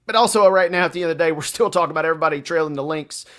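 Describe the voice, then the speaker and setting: A man's voice: a little high, very fast, fairly bright tone. A man co-hosting a sports radio show in casual, colloquial and playful commentary.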